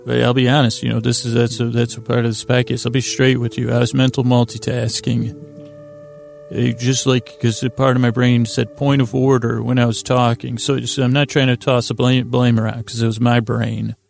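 Male sleep-podcast host: creaky, gravelly voice, flat-leaning delivery, meandering and halting, full of digressions and doubled-back phrases. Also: mid-range voice, soft background music. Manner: halting, repetitive, digressive, self-interrupting. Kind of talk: rambling, meandering bedtime monologue.

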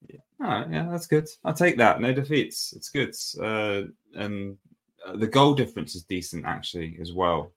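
Brit guy co-hosting a footy podcast, deep voice, lots of ums and restarts. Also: moderate pace. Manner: relaxed, rambling with fillers and restarts. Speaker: podcast co-host. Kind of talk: casual football chat.

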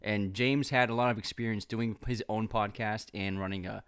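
A man from the United States with a flat, emotionless delivery, chatting as a podcast guest. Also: fairly low pitch, moderate pace, clean tone, dry recording. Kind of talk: casual chat.

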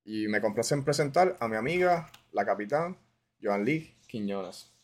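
A man's voice: medium pitch, expressive, unhurried, a little hiss or hum. A man with a Puerto Rican accent hosting a casual podcast interview, informal and dropping English words into his Spanish. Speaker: podcast host.

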